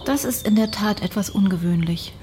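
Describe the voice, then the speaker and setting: Radio drama questioner played by a woman: mid-pitched, reserved, challenging, faint noise behind. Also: moderate pace, dark tone, lightly acted. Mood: doubtful. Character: sceptical adult female questioner, probing and reserved.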